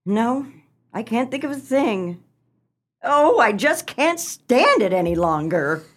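A woman's voice: a little high, big pitch swings, takes it slowly, exaggerated voice. A woman acting a bossy, fussy, melodramatic wife and mother in a comic radio play, with a theatrical delivery. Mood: exasperated. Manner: theatrical, dramatic.

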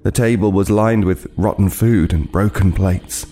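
An actor playing a haunted, guilt-ridden homeless man, telling his horror tale in a grave, deliberate, literary way for an audio play. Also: low voice, fairly even intonation, dark tone, faint music behind. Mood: grim dread. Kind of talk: dramatic first-person horror narration, audio play.

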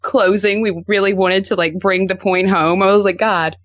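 A woman chatting casually as a podcast guest, sprinkling her anecdotes with filler phrases like an excited storyteller among friends. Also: mid-range voice, moderate pace, lo-fi sound. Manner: animated anecdotes peppered with "like" and "you know". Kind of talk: casual interview chat.

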